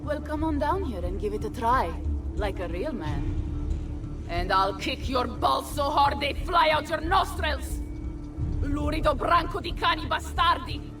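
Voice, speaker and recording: A woman's voice: high-pitched, animated, a little slow, far from the mic, over music. An actress playing a fierce, brash Italian noblewoman in a video game, taunting and swearing with an Italian accent. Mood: mocking, crude. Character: fierce, foul-mouthed Italian noblewoman and defiant mother. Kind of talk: video game cutscene acting.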